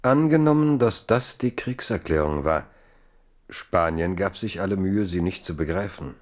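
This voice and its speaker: Low-voiced solemn male narrator. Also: expressive, moderate pace. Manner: formal, solemn, chronicle-like. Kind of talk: scripted literary narration in a radio play.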